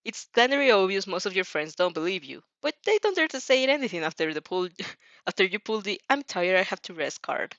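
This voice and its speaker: A woman's voice: medium pitch, big pitch swings, a little fast, a bit bright, no echo, lo-fi sound. A woman chatting casually and reading fan fiction aloud, giggly, rambling and informal in her delivery. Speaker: audio fan fiction reader and reactor. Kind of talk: casual reaction chat and expressive story read-aloud.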